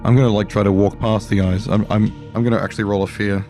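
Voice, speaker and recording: A man with a fairly deep, dark voice plays in a role-playing podcast. He describes his character's actions casually, with faint music behind him. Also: flat delivery, fast talker.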